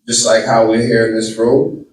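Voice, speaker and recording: A man's voice: on the low side, monotone, moderate pace, a little mellow, a little hiss or hum. A man with a Boston accent giving a campaign speech and taking questions, earnest and often repeating his words. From Boston, Massachusetts. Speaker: political candidate. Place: large room with an audience. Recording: echoey room, distant mic.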